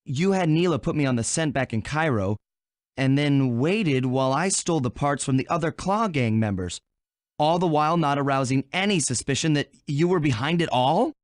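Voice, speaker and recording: A confident young thief from a cartoon video game, acted by a man. He talks in a cool, wry, casual way at a slightly high pitch, recorded dry and close. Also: lively intonation, normal speed, no echo, natural voice. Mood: challenging. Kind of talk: video game character acting.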